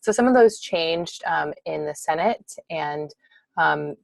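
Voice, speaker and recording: A woman's voice: low voice, slow, vocal fry. A woman state representative explaining a bill at an online town hall, often restarting and correcting herself.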